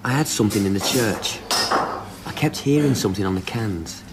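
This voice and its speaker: An actor playing an uneasy, subdued sound recordist in a naturalistic radio drama, hesitant and apologetic. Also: male voice, lowish voice, lively intonation, normal speed, close to an everyday voice. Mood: subdued, unsettled. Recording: noisy location with clinks, clatter.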